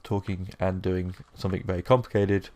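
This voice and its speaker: A young man with a sore, croaky throat, rambling casually through let's-play commentary with lots of fillers. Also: low-pitched, level tone, brisk, a little mellow. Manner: rambling, self-deprecating, with many fillers. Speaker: gaming YouTuber.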